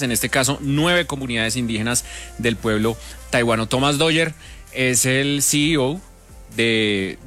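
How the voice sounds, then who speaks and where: A man's voice: mid-range voice, a bit bright. A man with a Colombian accent, speaking conversationally as the host of an interview show.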